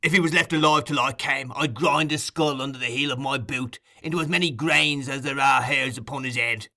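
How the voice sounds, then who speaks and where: A man's voice: mid-range voice, expressive, moderate pace. A man voicing a brutal, short-tempered male housebreaker in an audiobook, blustering with menace. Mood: threatening. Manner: forceful, blustering threats. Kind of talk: audiobook character acting.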